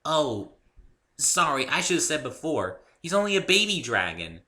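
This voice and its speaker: A man voicing a bubbly, excitable young female cartoon pony with a perky, exclamatory delivery in a story reading. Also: a little high, moderate pace, pitched above the performer's own voice.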